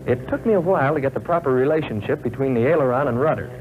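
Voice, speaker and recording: Man acting as young American trainee fighter pilot, earnest, plain-spoken, measured 1940s training film narration, tinny narrow sound, faint noise. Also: medium pitch, close to an everyday voice.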